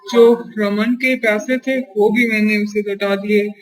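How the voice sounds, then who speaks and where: An actor playing a sly, greedy male merchant in a Hindi moral-story cartoon, smooth and ingratiating in manner. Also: mid-range voice, steady tone, a little slow, close to an everyday voice, rough recording. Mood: bitter regret. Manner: ingratiating in company, scheming when alone. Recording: music behind the voice.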